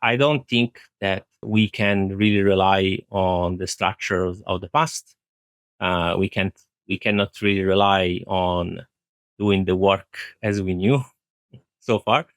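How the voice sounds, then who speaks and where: Low-voiced man explaining in an interview. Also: fairly even intonation, slow pace, dry recording. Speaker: IT director, interview guest. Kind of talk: thoughtful conversational interview answers.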